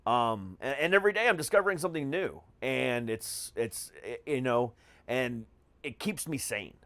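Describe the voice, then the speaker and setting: A man's voice: mid-range voice, lively intonation, normal speed, fairly bright tone, no echo. A man hosting a podcast in casual conversation, talking in an emphatic, slangy way with frequent swearing. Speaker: podcast host. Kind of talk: casual chat.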